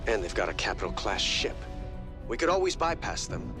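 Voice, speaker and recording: A man's voice: fairly low pitch, sing-song, a little fast. A man voicing an earnest, composed prince and adviser in a video game, speaking politely and reasonably. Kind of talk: video game character acting. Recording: loud game music behind.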